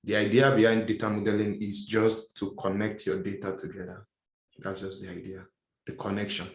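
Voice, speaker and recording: A man's voice: lowish voice, level tone, moderate pace, reverberant. A male instructor giving a casual lecture, explaining step by step and often repeating and rephrasing his points. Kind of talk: classroom lecture.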